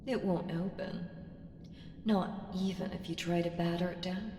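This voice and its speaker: A woman playing an ancient evil entity in an ASMR audio roleplay, coaxing and condescending, commanding and seductive, with deliberate delivery that slips into whispers. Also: fairly low pitch, fairly even intonation, dark tone. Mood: smug, controlling. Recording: roomy long reverb with clear background noise.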